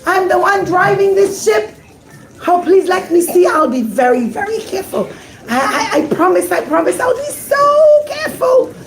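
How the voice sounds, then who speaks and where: A woman voicing an excited, pleading male ship captain in a children's story. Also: normal speed, background noise. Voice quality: high, pleading.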